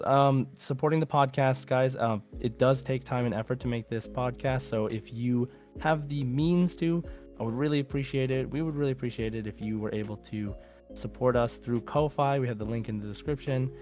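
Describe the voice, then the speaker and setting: A man's voice: mid-range voice, a little mellow. A man hosting a podcast in a casual, informal way, addressing listeners as guys. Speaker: podcast host.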